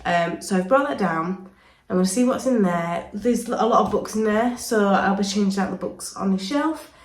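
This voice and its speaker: Chatty woman with a cold. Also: medium pitch. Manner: chatty, rambling with frequent ums. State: full of cold, sore throat. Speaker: vlogger. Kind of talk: casual home vlog. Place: indoors, home playroom. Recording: echoey room.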